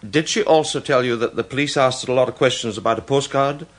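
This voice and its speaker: An actor playing a polite, earnest gentleman who speaks hesitantly and apologetically in a radio drama. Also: mid-range voice, fast talker, close to an everyday voice. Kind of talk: British radio drama acting.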